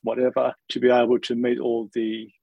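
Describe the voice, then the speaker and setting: A gravelly Australian man answers interview questions in a thoughtful, measured way, sometimes restarting a phrase. His voice has a husky edge, and the recording is close and dry. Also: medium pitch, monotone, warm tone, no room sound. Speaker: interview guest, organisational leader.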